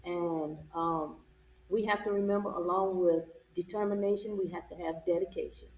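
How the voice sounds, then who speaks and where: A woman's voice: fairly low pitch, fairly even intonation, moderate pace, a little mellow. A woman speaking as a guest from a stage cast, giving earnest closing remarks in a conversational interview. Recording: echoey, distant mic, background noise.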